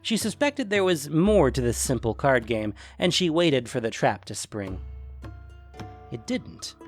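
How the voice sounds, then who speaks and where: A man's voice: medium pitch, big pitch swings, measured pace, faint music behind. A man reading scripted fantasy fiction as a dramatic narrator.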